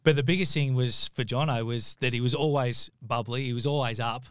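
A man's voice: mid-range voice, fairly even intonation, a little fast, smooth, no echo. An Australian man in his late forties, a former footballer chatting as a podcast guest, teasing and laid-back, in a small studio on a desk mic. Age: 40s. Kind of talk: casual chat. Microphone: studio mic, headphones.

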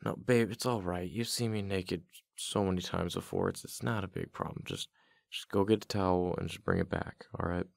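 A man playing a tired, affectionate husband in a boyfriend ASMR audio roleplay, talking in a casual, halting, mildly exasperated way with a deep, gravelly voice. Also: steady tone, dry recording, clean studio sound. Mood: sheepish, calling out. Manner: casual, halting, sighing, intimate.

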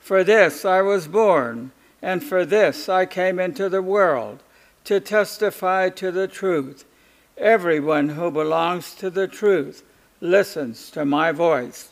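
A man reading the lines of a calm, solemn male prophet on trial in a liturgical Passion reading, slow and measured. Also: high voice, creaky, heavily acted. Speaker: scripture reader.

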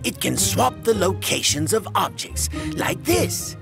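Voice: mid-range voice, big pitch swings, measured pace, gravelly. An actor voicing a fussy, rambling old male scientist in a children's cartoon, wordy and self-important, with music behind. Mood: proud.

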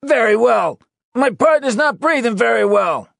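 A man's voice: fairly high pitch, big pitch swings, measured pace, no room sound, clean studio sound, voice pushed up. A man voicing a panicked, swearing New police detective trapped under rubble. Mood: urgent shouting. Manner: frantic, swearing.

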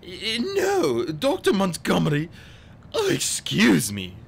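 A man's voice: wildly swooping pitch, takes it slowly, vocal fry, slight background noise, full character voice. A man voicing a scheming villain who pretends to be a distressed, fluttery assistant in an audiobook story. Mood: feigned sorrow. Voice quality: high, fluttery when pretending. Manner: theatrical, oily, falsely apologetic.

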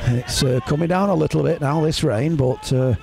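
A man's voice: on the high side, normal speed, vocal fry, crowd noise, background noise. A man calling an amateur rugby league match outdoors in the rain, chatty, his voice rising on big plays. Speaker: play-by-play commentator. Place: outdoors at a rainy rugby ground. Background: spectators and rain.

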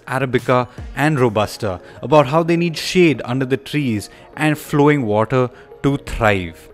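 Man narrating over soft music. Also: medium pitch. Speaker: narrator of a motoring travel film.

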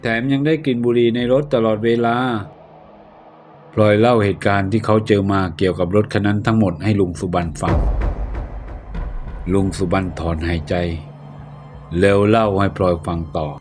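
A man narrating a ghost tale in a steady, measured way. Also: fairly low pitch. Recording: narration with faint background music. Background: faint music.

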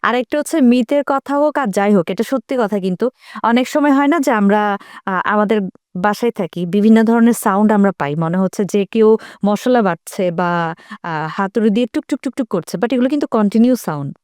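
A woman's voice: mid-range voice, brisk, a little mellow, no room sound, clean studio sound. A woman with a Bangladeshi accent telling casual anecdotes in a group chat, dropping in English words.

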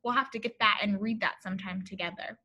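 A young woman reading a picture book to children as a teacher, in an expressive, exaggerated way, often addressing her young listeners with questions. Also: mid-range voice, fast, highs cut off. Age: young adult. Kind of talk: animated children's story read-aloud. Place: classroom, indoors.

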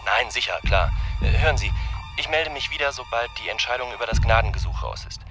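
An actor in a German radio drama playing an anxious, apologetic male defence lawyer who hedges and placates haltingly. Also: medium pitch, natural voice. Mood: placating, uneasy. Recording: music behind the voice.

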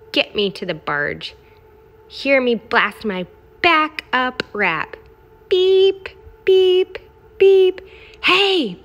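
Woman acting out boastful garbage truck, storybook read-aloud, emphatic short phrases, unhurried pace, gleefully grubby. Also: high-pitched, fairly bright tone, pitched above the performer's own voice, exaggerated voice. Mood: bossy, excited. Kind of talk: expressive children's picture-book read-aloud.

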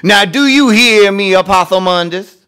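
A man's voice: sits high, moderate pace, bright, forceful tone, clear, resonant tone, pitched above the performer's own voice. A man voicing an exasperated, scolding mother in a storybook, with emphatic delivery. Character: exasperated, scolding mama, loud and shrill.

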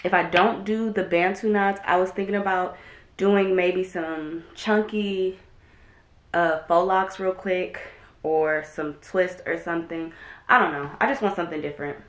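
A young woman vlogging at home, chatting casually to a camera a few feet away, relaxed, with lots of "um" and "like". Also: fairly low pitch, unhurried. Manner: chatty and relaxed, many "um" and "like" fillers. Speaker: lifestyle vlogger. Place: bedroom or dressing room at home, indoors. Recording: roomy echo of a bare room.

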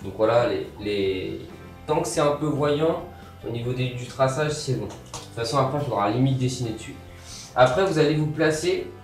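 A young man casually talks through a DIY sewing tutorial while working, relaxed and filling pauses with little interjections. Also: medium pitch. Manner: relaxed, talks while working, many fillers and "hop, voilà". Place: bare room with grey wall, indoors. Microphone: camera mic from a distance. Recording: roomy echo, distant mic, faint music.

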